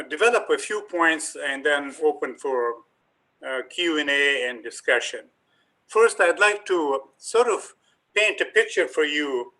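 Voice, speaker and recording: Older man lecturing, bright voice, hesitant. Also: fairly high pitch, poor audio. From Afghanistan. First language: Dari and Pashto. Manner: measured, hesitant, many ums and uhs. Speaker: professor giving a talk. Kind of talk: lecture to a community group.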